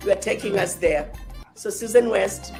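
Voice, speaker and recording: A woman's voice: mid-range voice, moderate pace, gravelly. Earnest woman over background music. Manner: earnest, rallying. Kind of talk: recorded endorsement message.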